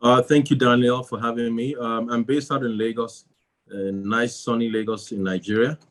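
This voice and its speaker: A man appearing as a podcast guest who answers in a measured way with hesitant fillers. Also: mid-range voice, steady tone, fairly bright tone.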